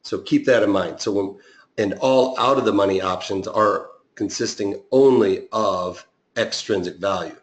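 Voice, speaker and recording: Raspy man teaching a live webinar. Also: fairly low pitch, a little slow, reverberant. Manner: explanatory, with frequent fillers and restarts. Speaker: options trading instructor.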